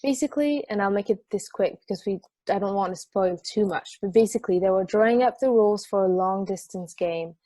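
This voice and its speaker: A woman's voice: mid-range voice, brisk, few pauses, warm tone, dry recording, natural voice. A woman playing a jaded, sardonic sports podcast host in a casual table read. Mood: casual explaining.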